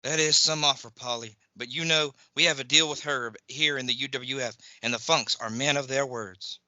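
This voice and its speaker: A man's voice: medium pitch, steady tone, moderate pace, bright, forceful tone, no echo, poor audio. A man acting in a comedic audio play as a tough, blunt wrestler brother. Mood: matter-of-fact.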